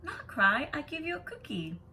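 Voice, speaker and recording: Earnest storybook little girl read by a woman, soft-spoken halting phrases, high bright voice, some background noise. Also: huge pitch swings, heavily acted. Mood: pleading. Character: gentle, earnest young Vietnamese immigrant girl in storybook.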